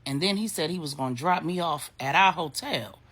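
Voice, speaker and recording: A woman's voice: sits low, fairly quick, a bit bright. A woman storyteller voicing a cocky, tough ex-convict man with slangy, laid-back bravado. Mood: flirty, confident.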